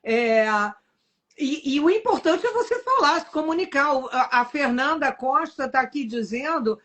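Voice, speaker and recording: A woman's voice: high-pitched, animated, moderate pace, fairly bright tone. A woman with a Brazilian Portuguese accent hosting a live stream, chatty and telling personal anecdotes. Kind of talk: casual live-stream conversation.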